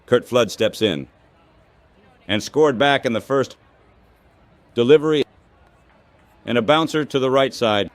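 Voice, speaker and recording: A man calling baseball play-by-play in measured, clipped phrases, like scripted radio commentary. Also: mid-range voice, no echo.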